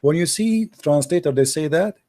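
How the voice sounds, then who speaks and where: A man's voice: on the high side, expressive, fairly quick, dark tone. A man hosting a call-in show, questioning a caller and reading out scripture passages. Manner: questioning, lectures while reading texts on screen. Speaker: host.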